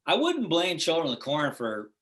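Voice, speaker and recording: A middle-aged man with a relaxed Californian accent talks as a guest on an interview show, meandering through his thoughts and checking in with "right?". His voice is bright and sits a little high for a man. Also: normal speed. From Los Angeles, USA. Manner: rambling, full of "like" and "right?". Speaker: guest actor. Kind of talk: casual interview chat.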